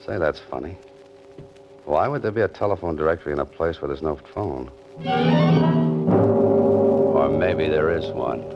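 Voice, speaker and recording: An actor playing a wry young fiction writer caught up in crime, in 1940s radio style with an American accent and a sardonic, hard-boiled delivery. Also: male voice, very deep voice, normal speed, pauses often, lo-fi sound, loud music behind the voice. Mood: wry, brooding. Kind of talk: 1940s radio acting, first-person noir narration.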